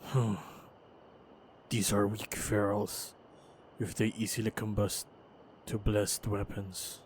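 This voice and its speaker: A man playing a stoic, wry and protective monster hunter in a fantasy action audio roleplay, speaking in terse, measured, understated lines. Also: fairly low pitch, little pitch movement, a little hiss or hum. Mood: guarded, calm.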